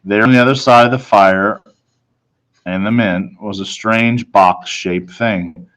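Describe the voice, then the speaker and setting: A man narrating a children's novel as a reader, in a measured, suspenseful storytelling manner. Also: fairly low pitch, steady tone, fairly bright tone, poor audio.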